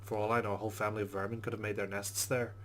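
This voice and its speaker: Low-voiced man over clear background noise. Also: flat delivery, very fast, fairly bright tone. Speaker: let's play host. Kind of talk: casual game commentary and reading game text aloud.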